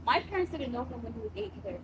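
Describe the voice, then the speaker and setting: A young woman from Samoa tells her story in an animated, casual way, swears freely and acts out the voices of others. Her voice sits a little high. Steady noise hangs behind her in the room. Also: racing. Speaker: guest.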